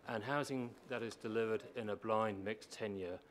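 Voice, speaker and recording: Male presenter, low register, explanatory and emphatic, addressing a formal meeting, faint room noise. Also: normal speed. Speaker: housing organisation manager presenting to a council. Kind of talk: prepared public submission speech. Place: council meeting chamber.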